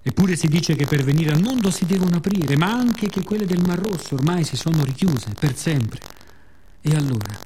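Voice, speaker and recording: A man delivers a scripted radio theatre monologue as a storyteller, in a measured, reflective, dramatic way with pauses. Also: a little high, animated, dark tone, a little hiss or hum.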